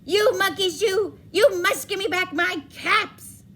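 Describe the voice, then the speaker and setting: A woman's voice: very high voice, slow pace, a bit bright, pitched above the performer's own voice, heavily acted. A woman voicing a male cap peddler in a picture book, with an emphatic, exclaiming delivery. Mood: angry scolding. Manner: emphatic, stammering repetition when scolding. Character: male cap peddler, gentle caller turning exasperated and scolding.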